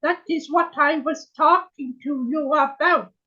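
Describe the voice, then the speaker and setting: A trance medium channeling a gentle female spirit sister on a video call, speaking in a solemn, old-fashioned way and calling everyone my dear. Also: sits high, highs cut off. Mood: solemn, tender. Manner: slow, solemn, archaic phrasing, keeps saying my dear.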